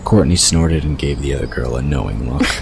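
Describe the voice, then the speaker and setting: A man's voice: very deep voice, a little fast. A man reading scripted audiobook narration of a story. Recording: clear noise behind the voice. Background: ambient sound effects.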